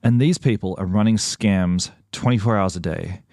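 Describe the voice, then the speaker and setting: A man with a fairly low voice narrates and interviews in a measured, earnest way. The sound is dry and close, with no room around it. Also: darkish tone, no echo. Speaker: podcast host.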